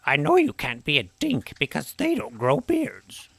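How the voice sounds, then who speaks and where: A woman's voice: deep voice, huge pitch swings, moderate pace, dry recording, full character voice. A woman playing a cranky, suggestive old village woman in a fantasy audio drama, with a cackly, accusing delivery. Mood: indignant, suspicious. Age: older. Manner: accusing, teasing, brusque.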